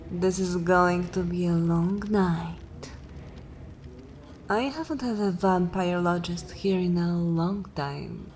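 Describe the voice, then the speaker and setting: A woman playing an ancient, haughty vampire mistress in a dark fantasy ASMR roleplay, languid and theatrical with disdain, lapsing into whispers. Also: fairly low pitch, slow, slight background noise. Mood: amused, weary.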